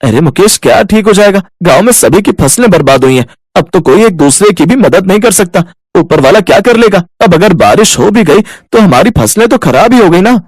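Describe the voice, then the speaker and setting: A man's voice: sits high, animated, a little fast, hardly any pauses, dry recording. A man voicing an aggrieved, grumbling village farmer in storybook dialogue. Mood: despairing.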